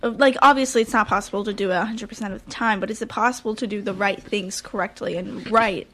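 A woman's voice: medium pitch, fairly even intonation, fast, a bit bright. A teenage girl co-hosting a kids' talk show, bubbly and rambling, with lots of "like". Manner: bubbly, rambling, says "like" a lot. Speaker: co-host. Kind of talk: casual chat.

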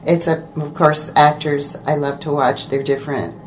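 An older woman with a naturally low voice recounts her life in the theater as an interview guest. She talks casually, with plenty of ums and restarts. Faint background noise runs under the recording. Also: level tone, normal speed. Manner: warm storytelling with fillers and restarts. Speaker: guest, actor and theater director.

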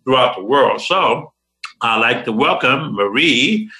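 A man hosts a motivational talk show with a bright, ringing voice. His delivery is upbeat and preacher-like, building hope line by line. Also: medium pitch, animated, a little slow.

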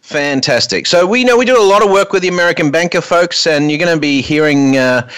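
A man's voice: fairly high pitch, fairly quick, fairly bright tone, clean tone, no room sound. A man hosting an unscripted radio show from a studio, often restarting and repeating words as he goes.

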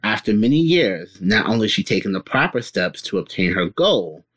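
A man's voice: fairly low pitch, sing-song, moderate pace, a bit bright, slightly rough. A man from Connecticut reading a prepared film response with expression. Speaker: student guest.

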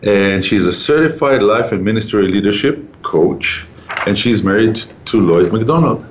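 A man hosting a conversational radio interview in a halting, informal way. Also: lowish voice, lively intonation, muffled recording, slight background noise. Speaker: talk show host.

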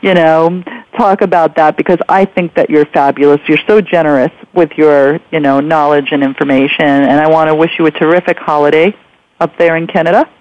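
Male podcast host, notably high voice, effusive but hesitant interviewer, heard on a muffled lo-fi feed. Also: fairly even intonation, brisk, no room sound. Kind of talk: casual interview hosting. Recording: dull lo-fi radio-like sound.

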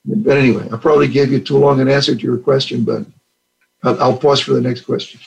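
A man's voice: medium pitch, steady tone, quick pace, gravelly, low-quality recording. An older man from Puerto Rico, a retired general, earnestly telling stories in an interview and acting out the dialogue. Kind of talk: storytelling interview answers.

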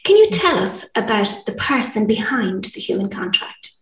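A woman's voice: medium pitch, sing-song, moderate pace, fairly bright tone. Enthusiastic woman host, roomy distant sound. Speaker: podcast host. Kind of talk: scripted introduction and interview questions.

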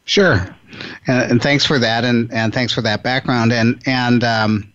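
A man's voice: medium pitch, steady tone, a little slow, a bit bright, smooth, dry recording. A man hosting a podcast interview, stumbling and restarting his sentences as he asks a question. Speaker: podcast host. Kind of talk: interview conversation. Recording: dry, dull lo-fi sound.

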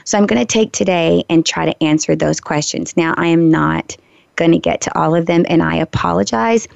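Woman radio host, hoarse and gravelly, rambling friendly chat, close dry lo-fi sound. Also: fairly low pitch, moderate pace, dry recording. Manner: chatty, warm asides, frequent ums and restarts. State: hoarse, recovering from a lost voice. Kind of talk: casual solo talk to listeners. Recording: slightly dull lo-fi radio recording.

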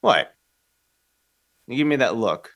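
A man's voice: medium pitch, sing-song, slow pace, fairly bright tone, no room sound. A man from the United States co-hosting a talk radio show, joking and mock-boastful in banter. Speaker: co-host.

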